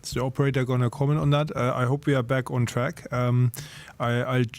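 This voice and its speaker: Man, call moderator, mid-range pitch, dry close sound. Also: flat delivery, a little fast, no room sound. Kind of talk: hosting an investor conference call.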